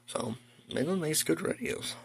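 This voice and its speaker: Gravelly man, casual collector. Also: a little high, animated, unhurried. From United States. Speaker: hobbyist collector showing a radio collection. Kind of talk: casual rambling show-and-tell. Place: indoors at home.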